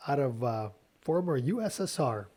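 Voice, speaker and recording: A man, a guest speaking in a measured, explanatory way. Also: mid-range voice, animated, warm tone, breathy edge. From Ukraine. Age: 40s. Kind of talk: casual podcast interview.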